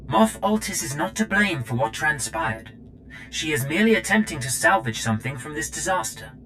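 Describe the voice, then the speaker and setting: A humble servant droid from a video game, speaking low and formally. It is polite and diplomatic, with clear noise and rumble behind it. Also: male voice, very animated, moderate pace. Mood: earnest, formal. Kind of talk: scripted video game acting.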